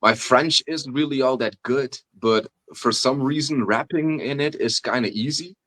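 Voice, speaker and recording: A man's voice: medium pitch, fairly bright tone, dry recording. A Flemish man from Belgium whose first language is Dutch, a rapper guest speaking casually in an interview with many fillers and restarts. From Belgium (Flanders). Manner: halting, many fillers and restarts, laughs often.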